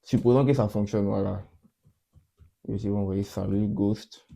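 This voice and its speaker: Low flat-voiced man with a cold. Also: measured pace, vocal fry. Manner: repeats himself, many fillers and restarts. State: has a cold, voice a bit off. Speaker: channel host. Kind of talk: casual screen-recorded coding walkthrough.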